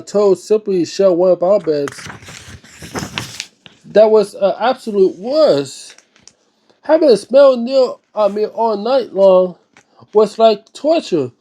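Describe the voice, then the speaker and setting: A man with a high-pitched, mellow voice reads aloud like the host of an informal book series. His delivery is halting, and he often trips over and repeats words. His phrasing is casual and slangy when he comments. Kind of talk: dramatic book reading with informal spoken commentary.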